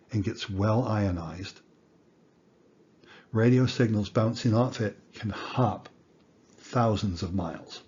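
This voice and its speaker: A man in his sixties giving an educational lecture in plain words, with a deliberate, folksy manner. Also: lowish voice, darkish tone, muffled recording, a little hiss or hum. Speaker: instructor.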